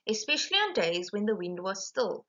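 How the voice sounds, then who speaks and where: A woman reading a rhyming children's storybook aloud in an animated, emphatic way. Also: mid-range voice, moderate pace, highs cut off. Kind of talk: expressive rhyming storybook narration.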